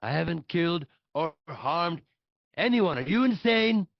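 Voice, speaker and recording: A man's voice: fairly high pitch, animated, a little slow, gravelly, no echo, dull audio. A man playing a pompous, defensive older politician in a comedic table read, blustering and self-justifying. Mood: indignant.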